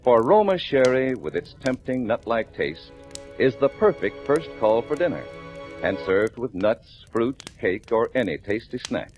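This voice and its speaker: A man's voice: medium pitch, big pitch swings, unhurried, a little mellow. A man with an American accent announcing in polished, emphatic 1940s radio style, selling for the sponsor. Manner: polished, emphatic sales delivery. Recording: vintage 1940s radio broadcast with music.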